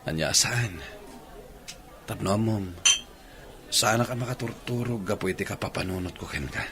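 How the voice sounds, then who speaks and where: An actor playing teasing men of a village in an Ilocano comedy radio drama, with drawn-out exclamations. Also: male voice, lowish voice, background noise, natural voice. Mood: earnest.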